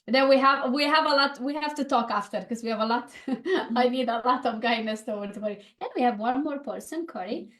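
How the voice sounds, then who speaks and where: A woman's voice: on the high side, fairly quick, a bit bright. A woman with a Romanian accent hosting a video-call networking circle, bubbly and repeating her words. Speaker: host of a networking event. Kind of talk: casual group introductions.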